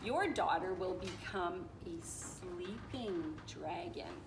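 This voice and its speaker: Woman doing a loud, muddled half-deaf fairy. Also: lowish voice, slow, roomy sound, noisy background, voice pushed down. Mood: muddled, earnest. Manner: querulous, quizzical mishearing.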